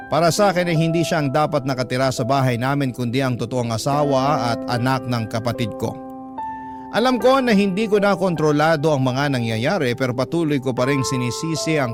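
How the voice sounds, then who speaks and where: A Filipino man with a measured radio storytelling style, reading a dramatic personal letter as narration. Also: medium pitch. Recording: music bed under the voice. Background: background music.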